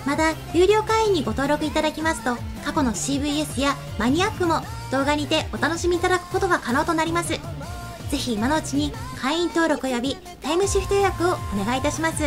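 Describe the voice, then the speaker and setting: A woman's voice: high voice, animated, fast talker, over music. A young woman hosting a live web talk show, moving between polished announcements and casual banter at a studio table microphone. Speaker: show host. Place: small studio set, indoors. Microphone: table microphone on a stand.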